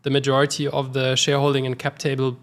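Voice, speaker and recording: Man, brisk podcast host. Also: mid-range voice, monotone. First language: German. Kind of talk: casual interview talk.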